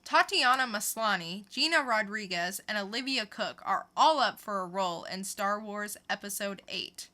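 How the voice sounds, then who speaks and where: A woman's voice: mid-range voice, expressive, moderate pace, hardly any pauses, bright, forceful tone. A woman co-hosting a podcast, reading scripted pop-culture news and adding dry, sarcastic punchlines. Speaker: podcast co-host.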